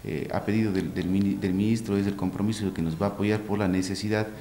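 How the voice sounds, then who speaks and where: Echoey, low-voiced Ecuadorian male official. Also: steady tone, quick pace, dark tone. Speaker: mayor being interviewed. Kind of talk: formal spoken statement. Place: small office indoors. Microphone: handheld press mic on desk. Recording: echoey office, faint background noise.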